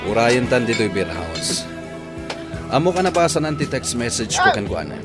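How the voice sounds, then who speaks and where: An actor playing a cool, scheming male boss, with an Ilocano accent and a deliberate, insinuating manner in a radio drama. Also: medium pitch, sing-song, over music, close to an everyday voice. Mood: calm.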